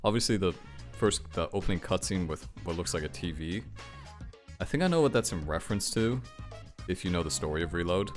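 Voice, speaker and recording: A deep-voiced man reacts to game news in a loose, informal, rambling way. His pitch moves around in an animated fashion. Music plays clearly behind his commentary. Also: a little fast.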